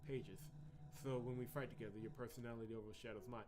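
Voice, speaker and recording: A man's voice: medium pitch, fairly even intonation, fast, over music, lightly acted. Man reading as timid, subdued game girl. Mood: hesitant, discouraged. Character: timid, apologetic girl unsure of her courage. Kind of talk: reading visual novel dialogue.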